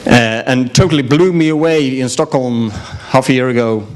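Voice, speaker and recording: A man speaks as an event host making an informal introduction. His voice sits at an average pitch, and he sounds a little hesitant as he looks for words. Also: normal speed.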